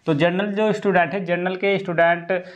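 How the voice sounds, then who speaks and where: A man's voice: high voice, steady tone, normal speed. A man teaching exam preparation informally to students, explaining step by step, repeating himself and often checking that they follow. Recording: dull lo-fi sound.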